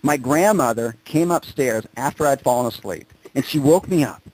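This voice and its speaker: A man speaking as a motivational presenter, telling personal stories and driving points home with emphatic repetition and dramatic pauses. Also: medium pitch, lively intonation, darkish tone, slightly rough, low-quality recording. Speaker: motivational speaker presenting on a group conference call. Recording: conference call audio.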